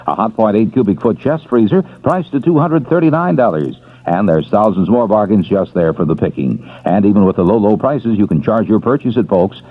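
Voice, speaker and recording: A man reading a radio commercial in a brisk, salesy announcer manner. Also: lowish voice. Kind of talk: commercial read. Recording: tinny old AM radio broadcast.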